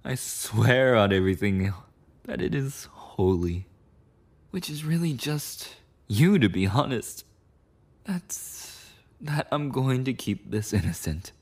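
A man's voice: medium pitch, big pitch swings, takes it slowly, full character voice. A man playing a clingy, possessive yandere boyfriend in an intimate ASMR roleplay monologue, rambling and cooing tenderly with lapses into whispers. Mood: coaxing.

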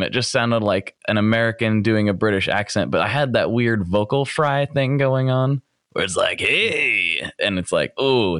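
A man hosting a podcast interview who talks in a chatty, joking way with a smooth radio-style delivery. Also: lowish voice, fairly bright tone, no room sound, studio quality. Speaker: podcast host. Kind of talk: casual interview chat.

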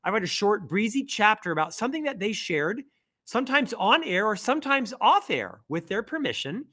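A man's voice: big pitch swings, moderate pace, pushed and bright. A middle-aged man from Florida, a guest author telling his story in a conversational interview, who says he stutters. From Florida, USA. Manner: upbeat, storytelling, rising pitch on emphasis.

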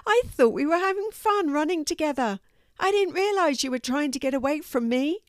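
A woman's voice: high-pitched, lively intonation, normal speed, dry recording, clean studio sound, voice pushed up. A woman voicing a big, playful, friendly male ginger cat in a children's story, bouncy and eager. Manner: bouncy, eager, good-natured.

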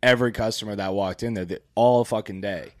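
Low-voiced casual podcast host, dry sound. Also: male voice, steady tone, brisk, no room sound. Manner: loose, rambling with filler words and swearing. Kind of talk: casual chat.

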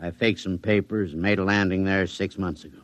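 A man's voice: low-pitched, steady tone, fairly quick, no echo, close to an everyday voice. An actor playing an ailing old spaceman, folksy and secretive, in 1950s science fiction radio style. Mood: weary, secretive. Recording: dull vintage radio recording.

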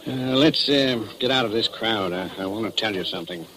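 An actor playing a furtive, loyal small-town doctor in a 1940s radio drama, speaking in a hesitant, confiding way. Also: male voice, lowish voice, brisk, a crowd in the background, lightly acted. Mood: uneasy, confiding. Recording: noisy old radio recording.

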